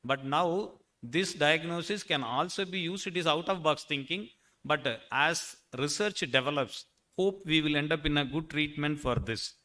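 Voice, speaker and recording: A man with a forceful, bright voice leads a medical panel discussion. His pitch sits a little high for a man. He talks with a wry, joking edge as he presses his panelists for answers. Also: normal speed. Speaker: panel moderator.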